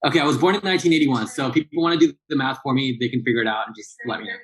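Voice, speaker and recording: A man's voice: mid-range voice, racing, slightly rough, rough recording. A man in his late thirties, a barista guest, talking casually in a rambling way with lots of fillers and restarts. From Southern California. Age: 30s. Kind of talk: casual interview chat.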